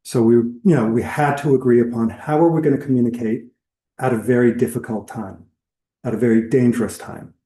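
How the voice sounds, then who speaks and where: A man's voice: medium pitch, fairly even intonation, a little fast, mellow, reverberant. A man giving a public lecture in a conversational, explanatory way, listing examples as he goes. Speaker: lecturer on whale entanglement response. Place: lecture hall.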